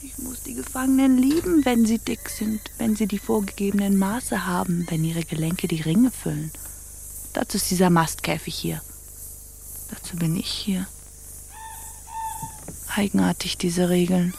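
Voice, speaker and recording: An actress playing an imperious, mocking pirate captain in a theatrical radio play, brooding and scornful. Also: female voice, mid-range voice, steady tone, normal speed, vocal fry, pauses often, warm tone, a little hiss or hum, natural voice. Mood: brooding, dreamy. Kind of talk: stage-like radio play acting.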